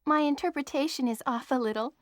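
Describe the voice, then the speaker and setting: A woman's voice: sits high, quick pace, no room sound, natural voice. A woman voicing a young female rookie medic in a 1990s video game, with stiff, stilted line reading. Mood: stiff, polite.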